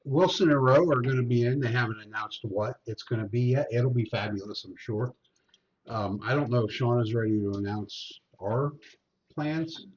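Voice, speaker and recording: A man co-hosting a casual whiskey review chat, with a big booming voice. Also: normal speed. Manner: booming, talks loudly. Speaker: show co-host. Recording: dull lo-fi audio.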